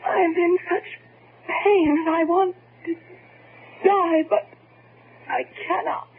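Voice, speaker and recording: Frail dying lady, very high and extremely slow, fearful broken wailing, gothic radio horror, dull sound. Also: fairly even intonation. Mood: anguished. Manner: faint, broken phrases with anguished wails. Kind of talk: gothic radio drama acting. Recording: dull, slightly noisy radio broadcast.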